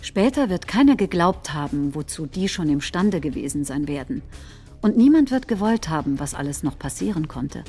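A woman acting in a radio play, reciting a populist politician's speech in a deliberate, rhetorical manner. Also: sits low, very animated, dark tone, faint music behind. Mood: grave, warning. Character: combative, folksy populist politician rallying supporters.

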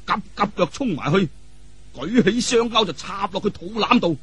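A male Cantonese storyteller with a high voice that swings widely in pitch. His tone is bright and forceful with a husky edge, and he tells martial arts tales theatrically in colloquial style, throwing in vivid exclamations. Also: lively intonation, moderate pace. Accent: Hong Kong or Guangdong Cantonese.

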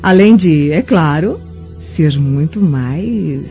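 An actress with a Brazilian accent playing a haughty, scheming middle-aged Roman matron in a radio drama, imperious and insinuating. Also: female voice, deep voice, animated, slow pace, mellow. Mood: sly. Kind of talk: melodramatic radio drama acting. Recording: dull lo-fi radio drama, music behind.

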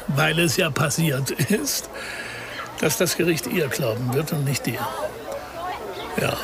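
A man playing a shrewd, unflappable police detective in a radio crime drama, explaining things in a measured, wry way. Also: fairly high pitch, vocal fry, background noise. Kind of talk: naturalistic radio drama dialogue.

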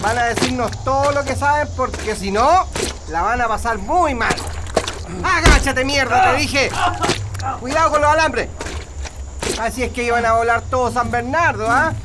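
A man's voice: very high-pitched, normal speed, background noise. A man playing a brutal, menacing Chilean military officer in a radio drama, barking threats and orders at prisoners. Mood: harsh, threatening.

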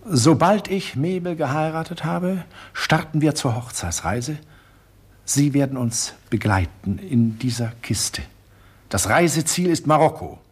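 An actor playing a smooth, coolly menacing art collector who murders, in a radio drama. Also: male voice, fairly high pitch, animated, a little slow. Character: suave murderous male art collector, villain.

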